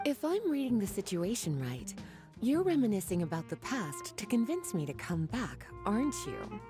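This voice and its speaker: A woman's voice: mid-range voice, very animated, moderate pace, lightly acted. Lady doing a teasing, languid witchy scholar from a game, swoopy intonation, faint music. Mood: bored, wry. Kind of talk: scripted game dialogue.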